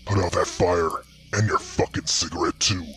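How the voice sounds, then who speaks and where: A man voicing an old, ragged, angry male bear with a rough, raspy growl. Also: low voice, fairly even intonation, moderate pace, fairly bright tone, soft background music, pitched below the performer's own voice. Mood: threatening.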